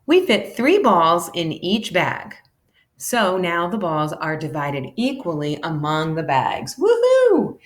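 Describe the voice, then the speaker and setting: A woman reads aloud to children like a teacher sharing a book. Her pace is slow and her pitch moves up and down a lot. She is animated and acts out the dialogue. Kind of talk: expressive children's read-aloud.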